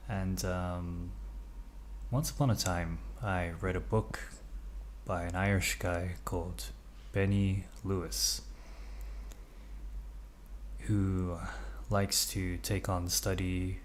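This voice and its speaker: A young English man who grew up in Norway, vlogging in a relaxed, hesitant way with many ums and uhs while he stretches at home. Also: deep voice, slow, long pauses, slight background noise. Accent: English with Norwegian upbringing. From England. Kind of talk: casual vlog narration.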